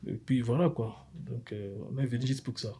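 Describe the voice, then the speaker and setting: A man's voice: mid-range voice, fairly even intonation, moderate pace, dark tone, husky. A man, a guest in an interview, giving brief, hesitant answers.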